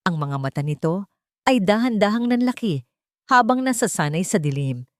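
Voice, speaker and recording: A woman's voice: medium pitch, lively intonation, moderate pace, dry recording, clean studio sound. A woman storyteller reading a scripted love drama in a dramatic narration style.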